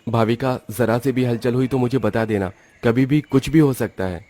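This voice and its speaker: A man's voice: mid-range voice, normal speed, warm tone, no room sound, lightly acted. A man voicing a cautious, protective young father and husband in a moral-story cartoon. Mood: wary.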